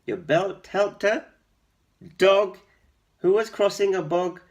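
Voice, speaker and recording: A man's voice: sits high, takes it slowly, pitched above the performer's own voice. A man voicing kind, grateful storybook animals in an encouraging, sing-song way.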